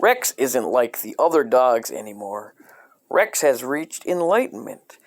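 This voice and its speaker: A man reading a comedic parody children's book aloud in a deadpan, scripted storyteller style. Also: mid-range voice, unhurried.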